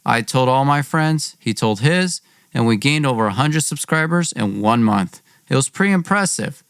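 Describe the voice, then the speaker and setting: A man's voice: mid-range voice, expressive, normal speed, a bit bright, clean tone, no room sound. A young man hosting a podcast, telling a personal story dramatically in an informal, wry way, acting out dialogue. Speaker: podcast host.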